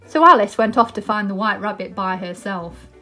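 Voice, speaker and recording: A woman's voice: medium pitch, normal speed, bright, forceful tone, faint music behind. A British woman presenting and reading a children's story aloud.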